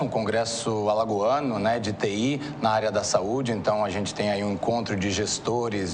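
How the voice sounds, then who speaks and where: A man from Bahia with a Brazilian accent, answering interview questions as a consultant in a didactic way. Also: medium pitch. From Salvador, Bahia, Brazil. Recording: faint noise in TV interview.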